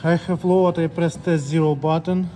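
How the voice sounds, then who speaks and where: Higher-voiced man giving step-by-step instructions, mellow tone. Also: flat delivery, normal speed, soft background music. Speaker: scale technician demonstrating calibration. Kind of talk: hands-on tutorial, unscripted step-by-step instructions.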